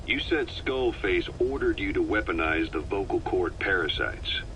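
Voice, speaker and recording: A man's voice: low-pitched, animated, normal speed, lo-fi sound. An actor playing a male soldier who questions an old scientist in a plain, direct way, recorded on a game cassette tape. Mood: probing. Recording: faint tape hiss.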